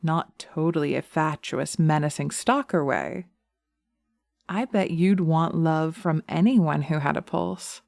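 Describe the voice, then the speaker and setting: A woman's voice: sits low, unhurried, gravelly, darkish tone, dry recording, clean studio sound. A woman voicing a scornful, domineering witch who taunts and commands in a hypnosis roleplay audio. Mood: menacing scorn.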